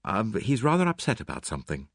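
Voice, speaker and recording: A man playing an earnest, slightly awkward gentleman with a tentative manner in an audiobook. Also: fairly low pitch, wildly swooping pitch, quick pace, no echo, clean studio sound, exaggerated voice. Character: earnest, hesitant English gentleman, loyal and easily flustered. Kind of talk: dramatised audiobook reading.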